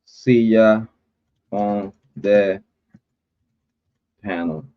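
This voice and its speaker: A man's voice: lowish voice, steady tone, highs cut off. A man from Rico, hosting a casual livestream chat. His talk rambles and drags with fillers and the odd curse. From Puerto Rico. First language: Spanish. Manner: rambling, chatty, drawn-out with fillers and cursing. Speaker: livestream host.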